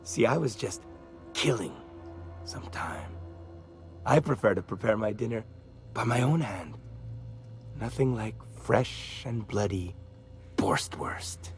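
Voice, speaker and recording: Theatrical, menacing tycoon, swooping delivery. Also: male voice, fairly low pitch, lively intonation, slow, long pauses, soft background music. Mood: sly, menacing. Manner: pompous, florid, mock-polite. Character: menacing, theatrical male meat-factory tycoon and killer. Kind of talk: video game character acting.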